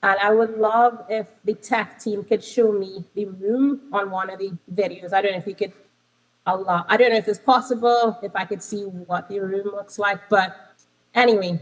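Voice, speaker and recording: A woman's voice: mid-range voice, fairly even intonation, normal speed, rough recording. A woman from Trinidad with a Trinidadian accent, giving an interactive conference talk as a lecturer over a video call, chatty with frequent ums and self-corrections. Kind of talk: interactive remote presentation.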